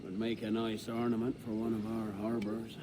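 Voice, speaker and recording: An actor playing a proud, blustering veteran pirate leader in a video game, with a gruff, declamatory delivery. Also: male voice, on the low side, level tone, moderate pace, background noise, lightly acted. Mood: dismissive. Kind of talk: video game cutscene acting.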